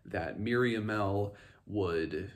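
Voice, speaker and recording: A man with a fairly low voice hosts a casual book recap. He talks in a rambling, halting way, often doubling back to rephrase as he comments on the story.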